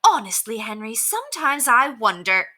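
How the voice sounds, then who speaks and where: A woman's voice: on the high side, very animated, moderate pace, sharp tone, voice pushed up, full character voice. A woman voicing an exasperated mother in a children's storybook, scolding and weary with her son.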